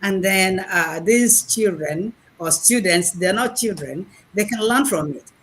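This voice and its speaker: A woman in her sixties with a Nigerian accent, giving a chatty, halting speech over a video call at an online graduation. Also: medium pitch, a little hiss or hum. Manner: chatty and animated, restarts and repeats words, hesitant fillers. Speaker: graduating program participant, retired math lecturer.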